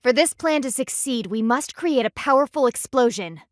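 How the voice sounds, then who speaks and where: A woman's voice: sits high, expressive, moderate pace, no room sound, studio quality. A woman voicing a stern, proud panda warrior in a video game, speaking formally and with haughty, precise diction. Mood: formal, serious. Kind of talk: scripted video game voice acting.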